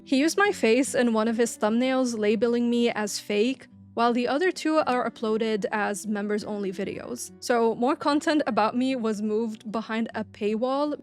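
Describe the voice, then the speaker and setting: A young woman from Lebanon with a Lebanese accent, whose first language is Arabic, talking earnestly and from the heart to her viewers in a personal gaming vlog. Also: fairly high pitch. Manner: earnest, heartfelt direct address. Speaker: gaming YouTuber.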